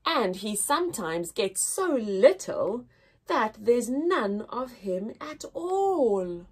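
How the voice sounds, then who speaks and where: A woman reading aloud to young children as a story-time teacher, expressive and sing-song with exaggerated wonder and a breathy voice. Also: fairly high pitch, takes it slowly.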